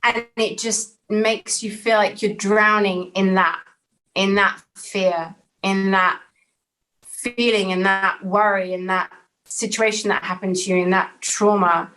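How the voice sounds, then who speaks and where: A woman's voice: medium pitch, steady tone, slow, a bit bright, low-quality recording. Earnest reflective woman. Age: 30s. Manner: earnest, reflective, repeats phrases for emphasis. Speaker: podcast guest. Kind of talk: candid personal interview.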